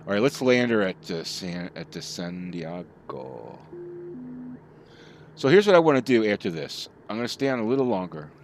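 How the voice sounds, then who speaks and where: A man's voice: on the low side, very animated, normal speed, a little hiss or hum. A middle-aged man chatting casually with his live chat while he plays, rambling from topic to topic. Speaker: streamer.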